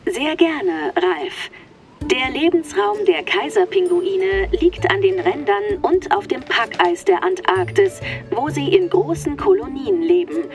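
A woman voicing an obliging, knowledgeable computer that explains facts in a measured way in a children's audio play. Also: very high-pitched, big pitch swings, creaky, soft background music, heavily acted. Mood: helpful, informative. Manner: instructive, measured.

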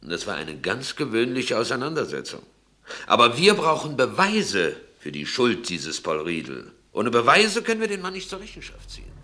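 Brief: lively, bright male voice, a dry, doubting lawyer. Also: mid-range voice, normal speed. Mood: skeptical. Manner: matter-of-fact, skeptical. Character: sober, skeptical lawyer.

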